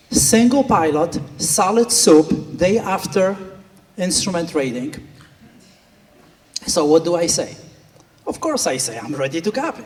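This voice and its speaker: A man's voice: high-pitched, a little mellow, slight background noise. A middle-aged man with a Polish accent gives an earnest speech at a gala, telling a story with quoted inner voices. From Poland. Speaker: flight instructor giving a speech. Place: large banquet hall. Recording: distant speaker in a reverberant hall.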